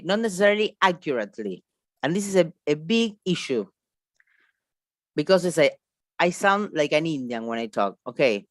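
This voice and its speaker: A woman's voice: rumbling low, dry recording, clean studio sound. A woman with an Argentine Spanish accent presenting a teacher-training seminar, speaking spontaneously and emphatically, repeating phrases and checking in with short questions. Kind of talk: online seminar lecture, spontaneous.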